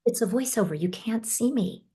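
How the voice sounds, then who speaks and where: A woman's voice: a little high, lively intonation, normal speed, warm tone, husky. A woman voice actor asking earnest, polite questions on a video call.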